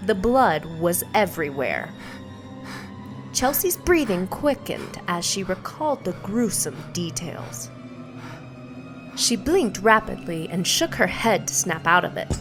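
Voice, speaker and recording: A woman's voice: medium pitch, sing-song, unhurried, music in the background. A woman reading a scripted suspense story as its narrator.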